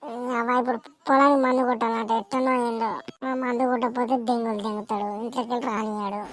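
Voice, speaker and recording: A woman's voice: on the high side, level tone, quick pace, lightly acted. A woman voicing a whiny, crude and defiant young village son in an amateur cartoon comedy, with a Telangana Telugu accent. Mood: frustrated cursing.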